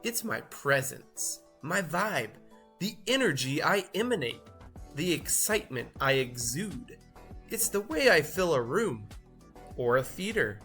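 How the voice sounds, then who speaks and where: A man voicing a boastful, show-off cheese in a theatrical, grandiose storybook read-aloud with drawn-out emphasis. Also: mid-range voice, pushed and bright, exaggerated voice. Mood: boastful, showy.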